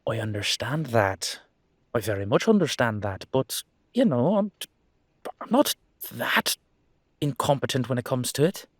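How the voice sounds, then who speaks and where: Man as proud, insistent supernatural gentleman. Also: mid-range voice, sing-song, normal speed, long pauses, no room sound, clean studio sound. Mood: defensive, insistent. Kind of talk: roleplay audio drama, one-sided conversation.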